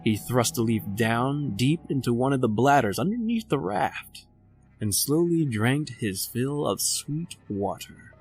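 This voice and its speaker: A man narrates a radio drama at a slow, deliberate pace. His voice is gravelly and his storytelling is measured, over faint music. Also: medium pitch, expressive, a little mellow, poor audio.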